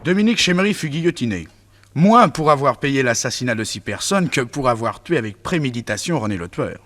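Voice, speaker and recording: A man's voice: medium pitch, expressive, brisk, a bit bright. A man playing a detective's nephew, narrating a 1960s French radio serial from a script. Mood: storytelling. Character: male detective's nephew narrating his uncle's exploits.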